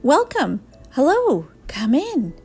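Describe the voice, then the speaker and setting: A woman plays an excitable young storybook schoolboy with a raised, swooping voice. He is anxious and dramatic, blurting things out in a fluster, with music underneath. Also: high voice, slow pace, voice pushed up, exaggerated voice. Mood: eager, nervous.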